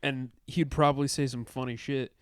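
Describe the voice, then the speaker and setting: A man's voice: mid-range voice, steady tone, normal speed, clear, resonant tone, no room sound, studio quality. An American man co-hosting a podcast, chatting casually and comedically with irreverent, profanity-laced banter. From New England, United States. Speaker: podcast co-host.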